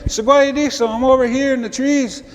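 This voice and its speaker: Eager owl for a spoken folktale, squeaky, pushy, echoing room. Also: male voice, normal speed. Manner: high, excited, insistent calling out. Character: eager excitable male owl.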